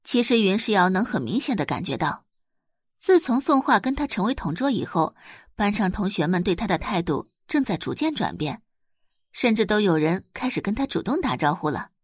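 A woman's voice: mid-range voice, big pitch swings, moderate pace, breathy edge, no echo, studio quality. A woman narrating a scripted audiobook story as its storyteller.

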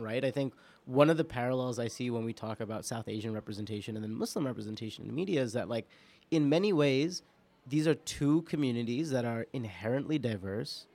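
A man from New York with an American accent, guest-hosting a casual podcast and thinking aloud haltingly, repeating words as he goes. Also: medium pitch, darkish tone. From New York, United States. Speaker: podcast guest host and producer. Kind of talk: casual chat.

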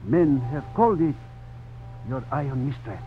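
Stern, formal older governor and father played by a male actor, expressive range, vintage radio drama, muffled broadcast sound. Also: medium pitch, moderate pace, natural voice. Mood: grave. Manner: formal, grave, ceremonious. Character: courtly, stern older Spanish-colonial governor and protective father. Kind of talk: 1950s radio drama acting. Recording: dull, noisy old radio broadcast recording.

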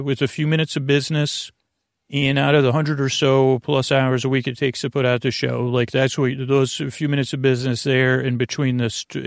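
A man with a creaky, gravelly voice at an average male pitch delivers a bedtime podcast monologue. He wanders softly from tangent to tangent, often stopping and starting, in a soothing manner. The sound is dry, with no room to it. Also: dry recording. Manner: meandering, tangential, halting; soothing, gentle. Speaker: podcast host.

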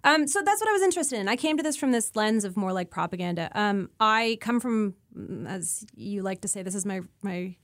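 A middle-aged Canadian woman speaks as an interview guest, explaining her work informally and thoughtfully. Her voice sits at an ordinary pitch, and the sound is dry, with no room around it. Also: lively intonation, brisk, hardly any pauses, fairly bright tone, dry recording. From Ontario, Canada.

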